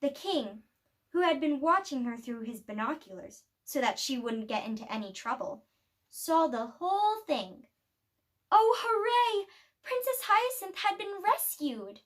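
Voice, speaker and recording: A woman reading a children's picture book aloud, telling the story expressively with deliberate pauses. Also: high voice, very animated. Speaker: storybook reader.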